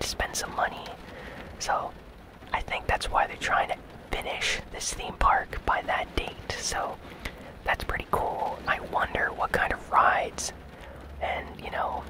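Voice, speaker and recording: A host whispering gaming news ASMR-style in a soft, breathy, unvoiced whisper, with a gentle, casual, unhurried delivery. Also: low-quality recording. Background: rain sounds.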